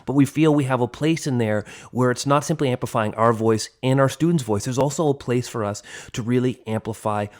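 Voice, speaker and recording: A man's voice: mid-range voice, brisk, hardly any pauses. A man in his forties co-hosting a teachers' podcast, speaking in an earnest, discursive way and often rewording himself as he reflects. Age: 40s.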